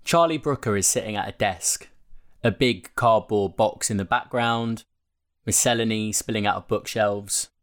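A man's voice: on the low side, moderate pace, a bit bright. A man reading a written magazine interview aloud as scripted narration. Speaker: narrator reading a newspaper feature. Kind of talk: scripted narration of a print interview, with quotes read out.

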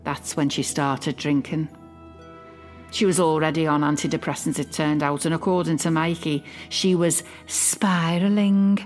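A woman playing a possessive middle-aged mother in a confiding dramatic monologue, smug, mock-innocent and quietly malicious. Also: deep voice, fairly even intonation, a little slow, faint music behind. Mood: sly, mock-innocent. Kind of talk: dramatic monologue, confiding fiction podcast narration.

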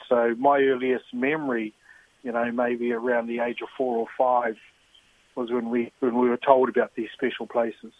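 A man, a trust manager, answering interview questions in a thoughtful, halting way. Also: medium pitch, dry recording. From New Zealand. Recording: tinny phone line.

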